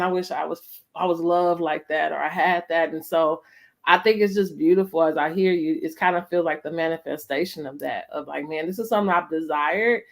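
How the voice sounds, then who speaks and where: A middle-aged American woman hosting a casual, reflective conversation, speaking emphatically and often checking in with her listener. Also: deep voice. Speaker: host of a family storytime conversation.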